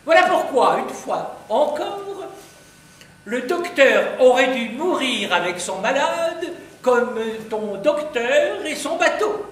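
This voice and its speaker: A smug, opinionated English husband, played by a man on a live stage. His voice is unusually high and swoops, and he speaks slowly. The recording is echoey and picked up from a distance. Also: big pitch swings, fairly bright tone, heavily acted. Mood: pompous. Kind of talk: amateur stage acting. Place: theatre stage before an audience. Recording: echoey hall, heard from a distance.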